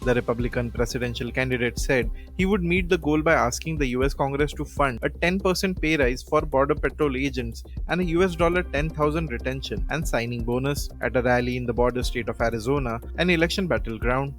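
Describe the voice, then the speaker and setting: A man's voice: medium pitch, brisk, hardly any pauses. Indian man reading news over music. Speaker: news presenter.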